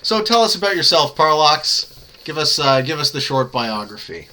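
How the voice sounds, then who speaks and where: A man hosting a casual gaming podcast, talking in a halting way with many filler sounds while he sets up an online game. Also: a little high, sing-song, bright, forceful tone. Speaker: podcast host.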